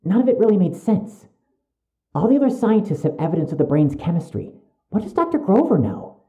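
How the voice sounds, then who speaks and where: An actor playing a suspicious young medical student in an audio drama, speaking with precise, urgent, stiffly formal wording. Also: male voice, high-pitched, very animated, fairly quick, warm tone, highs cut off. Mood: urgent, insistent.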